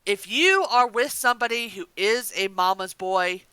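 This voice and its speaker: A woman's voice: mid-range voice, animated, moderate pace, pushed and bright, clean tone, dry recording. A woman co-hosting a casual review chat about an audio drama in a gushing, enthusiastic manner.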